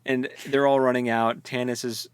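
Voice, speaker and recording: A man with a medium-pitched voice chats as a podcast co-host in a dry-sounding recording. He retells a story informally, with slangy phrasing and a joking, conversational way of talking. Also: flat delivery, normal speed, smooth, no room sound. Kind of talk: casual book discussion chat.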